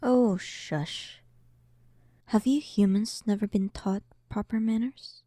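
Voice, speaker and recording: A dominant demon queen, acted by a woman, speaks slowly and with soft, mellow menace. She is arrogant and sarcastic, toying with her listener in a roleplay audio drama, often sinking into breathy near-whispers. The recording is close and dry. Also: medium pitch, fairly even intonation, no room sound. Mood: smug, teasing. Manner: slow, dominant, teasing, condescending, often dropping toward a whisper.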